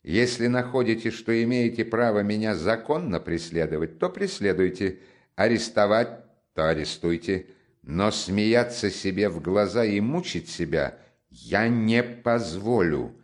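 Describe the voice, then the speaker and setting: A man voicing a feverish, defiant young man who speaks loudly, clipped and strained. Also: on the low side, animated, unhurried. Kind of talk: audiobook character voice.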